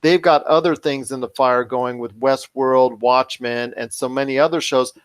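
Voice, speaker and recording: A man's voice: mid-range voice, steady tone, moderate pace, smooth. A man hosting a podcast, giving conversational commentary in a wordy, emphatic way, building points by repetition. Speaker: podcast host.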